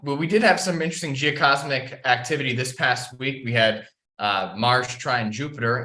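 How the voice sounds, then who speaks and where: A man's voice: mid-range voice, sharp tone. A man hosting a market podcast in a conversational interview style, with frequent filler words as he talks. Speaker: podcast host. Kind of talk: casual market commentary interview. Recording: roomy, echoey sound.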